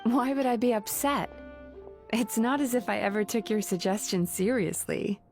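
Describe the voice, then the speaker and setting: Calm, candid young businesswoman for an anime game, voiced by an actress, slightly high voice, dry wit, light music behind. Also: moderate pace, natural voice. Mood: dry, composed. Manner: calm, candid, dryly teasing. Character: composed, dry-witted, gentle young businesswoman. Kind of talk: anime video game voice acting.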